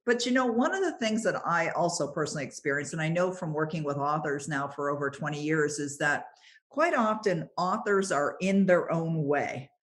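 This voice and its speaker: A woman's voice: low voice, lively intonation. Chatty middle-aged Canadian woman host. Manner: chatty, encouraging, quick asides with fillers. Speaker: podcast host. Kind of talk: interview conversation.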